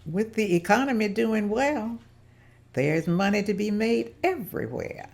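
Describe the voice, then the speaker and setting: A woman's voice: mid-range voice, lively intonation, measured pace, gravelly, darkish tone. A woman at a table read playing a persuasive businesswoman who rallies other women to organize. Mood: encouraging. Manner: rallying, persuasive. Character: woman leader and businesswoman, encouraging.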